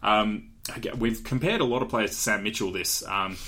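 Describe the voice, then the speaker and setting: A man's voice: lowish voice, moderate pace, sharp tone. A young Australian man co-hosting a podcast, chatting casually through a draft with fillers and frequent laughs. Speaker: podcast co-host. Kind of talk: casual chat, draft analysis.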